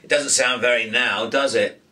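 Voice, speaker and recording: A man voicing a talkative, wry, eccentric young man in his twenties for a storybook, with an animated, musing manner. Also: mid-range voice, moderate pace, distant mic. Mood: chatty, musing. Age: young adult. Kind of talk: audiobook character voice.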